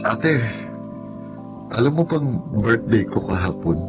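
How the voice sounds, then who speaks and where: An actor playing an earnest, hardworking young Filipino engineer in a radio drama, speaking in a measured, admonishing way to his family. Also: mid-range voice, expressive, highs cut off, music in the background. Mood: frustrated, admonishing. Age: young adult.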